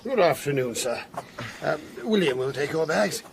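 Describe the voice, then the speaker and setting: Formal butler, fairly high voice. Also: male voice, animated, moderate pace, creaky, noisy background. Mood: formal, deferential. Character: formal, deferential manservant of a great house. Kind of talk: radio drama acting.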